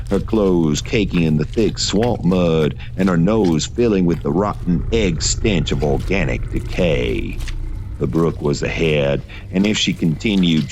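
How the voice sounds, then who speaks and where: A man reading scripted gothic horror fiction as a narrator, in a measured, literary storytelling manner. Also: a bass voice, slight background noise.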